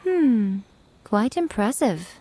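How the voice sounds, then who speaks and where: A woman's voice: on the high side, big pitch swings, slow pace, background noise. A woman voicing a sly, teasing shrine maiden in a video game, arch and refined. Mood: amused, curious. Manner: arch, mock-sympathetic, refined.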